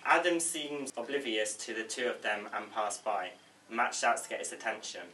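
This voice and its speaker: A man's voice: on the low side, lively intonation, moderate pace, sharp tone, distant mic. A man narrating stage directions from a script at a table read. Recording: echoey room, off-mic, faint noise.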